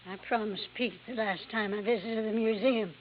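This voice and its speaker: A woman acting a frail, dying old lady with a fairly high voice, gracious and confiding. The old radio recording is dull and muffled, with faint noise. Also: steady tone, normal speed, close to an everyday voice. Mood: weak, gracious. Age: older. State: gravely ill. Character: frail, gracious old grandmother on her deathbed, candid.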